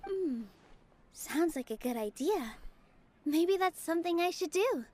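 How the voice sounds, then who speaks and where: An actress voicing a sweet, earnest young dancer in a video game, with a soft, polite manner. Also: female voice, very high-pitched, expressive, unhurried.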